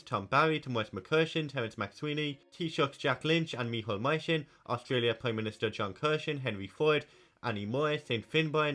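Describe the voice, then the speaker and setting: A man from County Cork with a Cork Irish accent, reading a scripted geography explainer in a brisk, informative way and running through long lists of names. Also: mid-range voice, a bit bright. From Ireland, County Cork. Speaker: geography YouTuber. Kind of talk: scripted educational narration.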